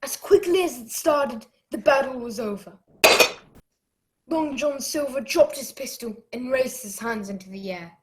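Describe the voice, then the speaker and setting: A woman's voice: high voice, expressive, measured pace, heavy background noise. A woman playing the earnest narrator of an adventure radio play, telling it as a dramatic memory. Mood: reflective. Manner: dramatic storytelling.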